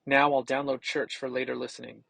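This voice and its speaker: Gravelly, husky man narrating a demo. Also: medium pitch, little pitch movement, fairly quick, fairly bright tone, no room sound. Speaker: tech reviewer. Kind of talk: scripted product demo narration.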